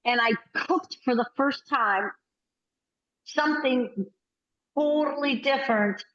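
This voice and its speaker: A woman, chatting on a video call as a workshop participant and telling stories with quick, animated bursts. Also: high voice. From United States. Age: 60s. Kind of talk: casual video call chat. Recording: roomy, slightly muffled call audio.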